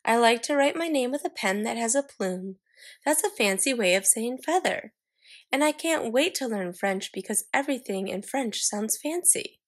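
Excitable little girl acted by a woman, high voice with some creak, dramatic storybook delivery, proud and fancy.

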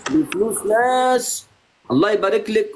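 Man, Egyptian accent, live streamer, joking banter, slightly high voice, faint hiss behind. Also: expressive, moderate pace. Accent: Egyptian Arabic. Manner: playful, bantering, mock-stern. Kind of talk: teasing live-stream chat.

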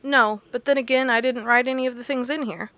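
An actress playing a blunt, stubborn researcher in an audio drama. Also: female voice, on the high side, steady tone, fairly quick, fairly bright tone, no room sound, natural voice. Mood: firm, defiant. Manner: blunt, firm. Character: blunt, stubborn woman researcher, fed up and resolute.

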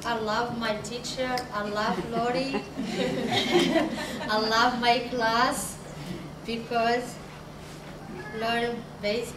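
Young Moroccan woman, Arabic native, halting simple English, very slow and a bit high; echoey room, distant podium mic. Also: little pitch movement. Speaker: adult literacy student. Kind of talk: halting prepared remarks. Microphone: podium mic, far camera. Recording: echoey room from far off, background noise.

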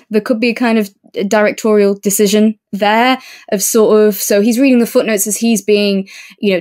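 A woman's voice: medium pitch, a little mellow. A woman co-hosting a podcast, chatting casually and thinking aloud as she reviews an audiobook. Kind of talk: casual chat, book review discussion.

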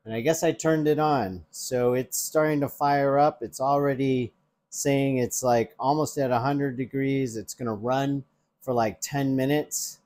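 A man's voice: medium pitch, fairly even intonation, unhurried, a little mellow. A man in his forties, a tech reviewer, chatting casually through a product demo in his home kitchen on a lapel mic, with ums and restarts as he handles the device. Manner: chatty, explains while handling gadgets, frequent ums and restarts. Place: home kitchen by an open window.

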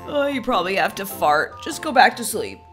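A woman's voice: high voice, very animated, normal speed, bright, forceful tone, soft background music, full character voice. A woman playing a worried, goofy young husband in a children's skit, fretful and dramatic. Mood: annoyed. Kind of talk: playful skit role-play for children.

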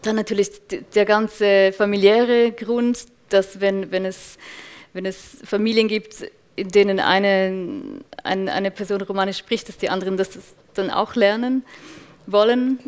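Woman, thirties, Swiss accent, halting, searching for words, panel guest. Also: medium pitch, clean tone. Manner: thoughtful, many restarts and hesitations. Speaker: panel guest, culture officer. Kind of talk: panel discussion answers. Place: event room with large windows.

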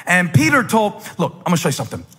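A man in his forties preaching a sermon on a church stage into a handheld mic, telling a story and then building to loud, fervent emphasis. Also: high voice, big pitch swings, fast, breathy edge, faint music behind. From United States. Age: 40s. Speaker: pastor. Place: large stage in a church hall. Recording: long hall reverb.

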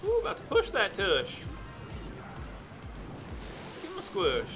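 A young man giving relaxed, chatty commentary on his own game stream, reading chat aloud and sounding a bit phlegmy. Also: high voice, slow pace. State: phlegmy throat. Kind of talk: casual gameplay commentary. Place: indoor room at a desk. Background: music playing.

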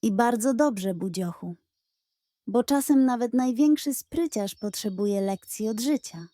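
A woman's voice: a little high, measured pace, no room sound, clean studio sound, close to an everyday voice. Woman voicing a smug, lazy trickster tomcat. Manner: calm, self-satisfied.

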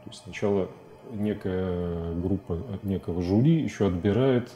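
A man in his forties with a low, very dark and soft-edged voice. He speaks as a guest in a studio interview, thinking aloud in loose, colloquial phrases that often stop and restart. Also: normal speed, soft background music. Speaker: interview guest, animation filmmaker. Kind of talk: casual studio interview talk. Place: TV studio, indoors.

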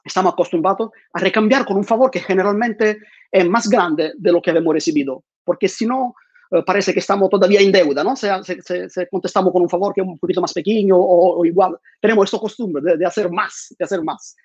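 Man, 40s, high voice, dull video-call sound, lecturing from home. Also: a little fast, a little mellow, husky, no echo. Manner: many fillers and repeated words. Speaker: conference speaker. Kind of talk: online conference talk. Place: home room with bookshelves. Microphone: lapel mic on a video call.

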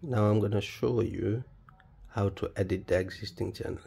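A man presenting a step-by-step tutorial in a plain, halting, instructional manner. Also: fairly low pitch, steady tone, vocal fry, mellow, slightly rough. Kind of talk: step-by-step spoken tutorial, unscripted.

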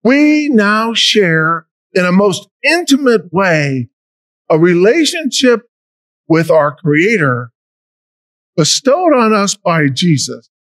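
An older American man, a deacon, preaching a homily from a lectern in a large church, in a slow, measured way with emphatic rises. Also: high-pitched, very animated, a bit bright, no room sound. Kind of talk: sermon.

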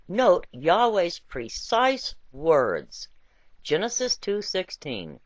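Woman reader, low-leaning voice, deliberate wry emphasis, dry slightly muffled recording. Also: no room sound. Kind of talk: expressive scripted reading of an essay with scripture quotations.

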